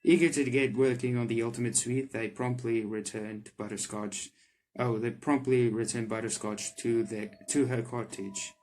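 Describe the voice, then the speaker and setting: A man's voice: medium pitch, fairly even intonation, moderate pace, darkish tone, slight background noise. A man reading a visual novel aloud as a gaming creator, with casual reactions between narrated passages. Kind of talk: reading game text aloud with character voices and casual reactions.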